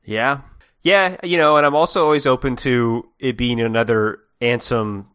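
A man's voice: medium pitch, fairly even intonation, normal speed, a bit bright, clear, resonant tone, dry recording, clean studio sound. A man co-hosting a podcast, reacting in casual, jokey chat and speculating aloud. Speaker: podcast co-host.

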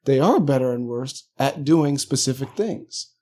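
Husky, mellow-voiced man, laid-back chat. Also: mid-range voice, animated, unhurried. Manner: laid-back, joking, thinks aloud with hesitations. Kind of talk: casual conversation.

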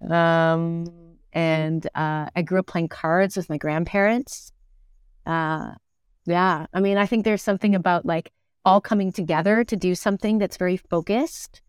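Middle-aged woman, smooth clear voice, casual interview guest, chatty, thinking aloud, close dry sound. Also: on the low side, fairly even intonation, measured pace, no room sound.

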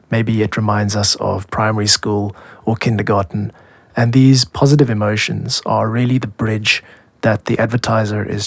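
A man with a South African accent hosting a podcast, explaining in a measured, scripted style. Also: on the low side, no room sound. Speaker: podcast host, music composer and producer.

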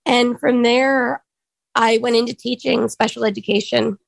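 A hoarse woman in her thirties sharing her personal story in an earnest, reflective way, pausing often with ums. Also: on the high side, fairly even intonation, no room sound. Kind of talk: personal testimony talk.

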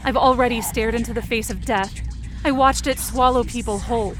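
A woman with a fairly high voice performs a scripted audio drama in the first person. Her narration is intense and suspenseful, as a frightened adventurer in a dark supernatural tale. Music plays clearly behind her. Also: fairly even intonation, a little fast. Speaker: fiction podcast narrator and performer. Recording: music bed under the voice. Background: dramatic background music.

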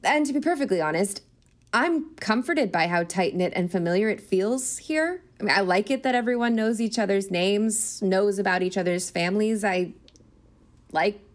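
An actress playing a young new teacher in an audio play, hesitant, earnest and eager to please. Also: female voice, mid-range voice, lively intonation, normal speed, natural voice. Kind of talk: naturalistic audio drama acting.

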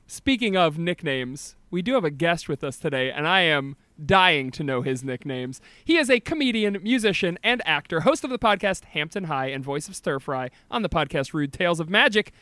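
A man hosting a podcast in casual, joking, animated banter. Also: fairly high pitch, normal speed, hardly any pauses, clear, resonant tone, no echo. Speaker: podcast host.

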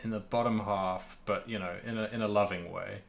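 Male podcast co-host, lower voice, casual comedic game chat, rambling and self-correcting. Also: steady tone, a little fast, gravelly.

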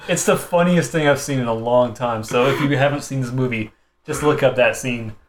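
A man in his thirties giving his take as co-host of a casual review. He speaks informally and a little haltingly, repeating words as he goes, in a mid-range voice. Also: medium pitch, slight background noise. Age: 30s. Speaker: co-host of a movie review show. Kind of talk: casual group review chat.

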